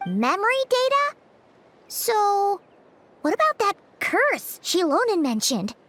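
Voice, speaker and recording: A woman voicing a tiny, caring, chatty fairy companion in a video game, with a perky, expressive delivery. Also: extremely high pitch, takes it slowly, heavily acted. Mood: gently curious.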